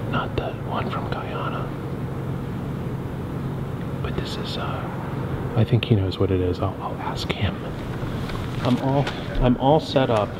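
A man's voice: mid-range voice, poor audio. A young man exploring an abandoned warehouse, talking casually on a handheld camera and often dropping to a whisper. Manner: slow, often hushed to a whisper. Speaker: urban explorer vlogger. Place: huge empty abandoned warehouse indoors. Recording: loud wind and site noise.

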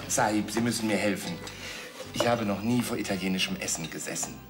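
A man's voice: fairly low pitch, brisk, lightly acted. A man playing a naive, overly formal restaurant guest in a turban in a TV comedy sketch. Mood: formal, polite. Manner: stilted formal phrasing, exotic address. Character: fake Indian guest in turban, naive, polite. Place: restaurant studio set. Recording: music and studio audience laughter.

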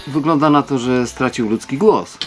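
A matter-of-fact man in a radio studio played by an actor speaks in a radio play. His voice is mid-pitched, with faint music underneath. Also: normal speed, natural voice. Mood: calm, sympathetic.

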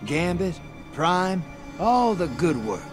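Shady game rogue, lively swooping delivery, folksy slang, sly swagger, music behind. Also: male voice, high-pitched, slow, exaggerated voice. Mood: chummy, confiding. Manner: drawling slangy swagger, conspiratorial. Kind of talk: video game character dialogue.

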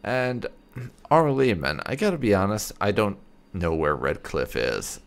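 A man's voice: fairly low pitch, sing-song, a little slow, vocal fry. A man giving casual, musing gameplay commentary and reading out dialogue choices. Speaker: let's play host.

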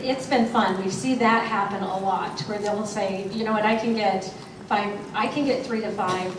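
Brisk advice-giving woman, echoey hall. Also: medium pitch. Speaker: panelist, sales consultant. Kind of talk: panel discussion. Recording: echoey hall, distant, noisy.

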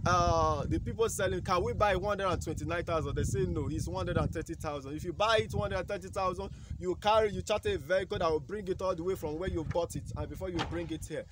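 A man's voice: sits high, quick pace, few pauses. A young Nigerian man with a Nigerian accent hosts a farm channel outdoors at a building site, talking animatedly and gesturing with both hands. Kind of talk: outdoor vlog explanation. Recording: loud wind noise outdoors.

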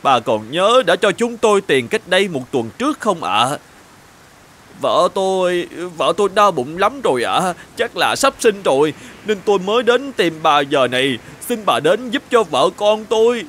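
A man voicing a humble village husband in an animated folktale, deferential and pleading in manner. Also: sits high, normal speed, full character voice. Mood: desperate pleading.

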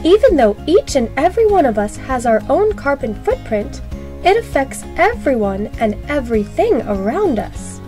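A woman voicing a cheerful, gently firm female science teacher in a children's storybook, emphatic and instructive. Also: high-pitched, sing-song, moderate pace, faint music behind, pitched above the performer's own voice. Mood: bright, encouraging.

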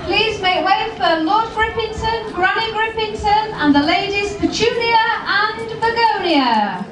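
A woman's voice: very high-pitched, animated, unhurried. A woman narrating a stage pantomime and proclaiming announcements, recorded in an echoey hall. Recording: echoey hall, distant mic, very noisy audience.

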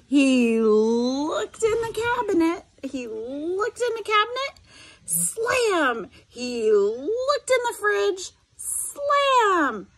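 A woman's voice: very high voice, very animated, extremely slow, fairly bright tone. A middle-aged woman reading a picture book aloud expressively, with instructive asides spoken directly to students. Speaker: special education teacher reading aloud.

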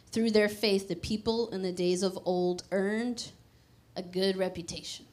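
A woman, preaching a conversational, emphatic sermon into a handheld mic on a church stage. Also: on the low side. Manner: conversational, emphatic, drops to a hushed prayer.

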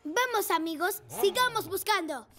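A woman voicing an eager, polite little boy rescue motorcycle in an animated children's dub. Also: extremely high pitch, moderate pace, heavy background noise. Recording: cartoon music behind.

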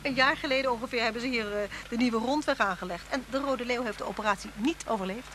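An actress playing a warm-hearted, headstrong woman schoolteacher in a Dutch radio play, with an insistent, teasing, expressive delivery. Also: a little high, fast talker, close to an everyday voice. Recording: noisy old radio drama recording.

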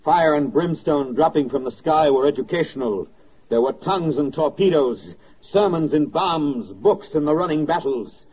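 A man performing as a solemn, declamatory narrator of a wartime radio drama, with dramatic pauses. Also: a little high, lively intonation, natural voice. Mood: grave, biblical. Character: solemn, oratorical male narrator of wartime victory. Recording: dull, band-limited 1940s radio record with music.

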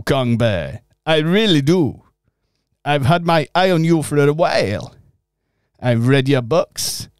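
A man acting in a local radio pantomime, earnest and expressive in his storytelling. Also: fairly high pitch, clean tone, no echo, clean studio sound. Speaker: pantomime narrator and actor.

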